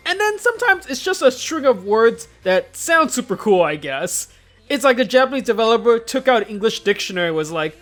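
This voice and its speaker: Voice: mid-range voice, fairly bright tone. A casual, joking video host narrating a scripted countdown commentary.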